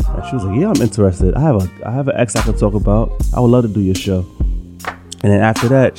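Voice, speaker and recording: Podcast host: nasal New York man with a lowish voice, casual and slangy, with a music bed behind him. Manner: laid-back, slangy, loose. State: nasal from a sinus infection.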